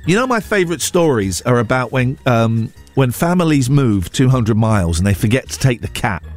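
A man's voice: mid-range voice, big pitch swings, normal speed, over music. A man hosting a morning radio show with a blocked nose, chatting casually and reading out stories in a rambling, jokey way, stumbling and correcting himself. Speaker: radio breakfast show host. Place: radio studio.

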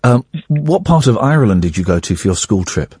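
A man in his forties hosting a radio phone-in, chatting casually and teasing a young caller in a deadpan way. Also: mid-range voice, brisk, husky, dry recording, clean studio sound. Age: 40s.

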